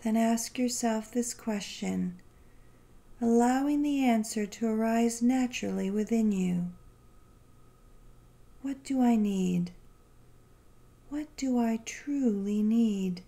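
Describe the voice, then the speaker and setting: Female meditation guide: relaxed, warm tone, very slow pace, gentle and soothing, spacious pauses. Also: a little high, creaky. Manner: gentle, unhurried, soothing delivery with long pauses.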